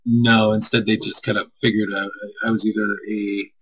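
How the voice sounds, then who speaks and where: A man's voice: lowish voice, level tone, normal speed, a bit bright, husky, poor audio. A man streaming as a co-host, chatting casually with viewers in a rambling, self-deprecating way.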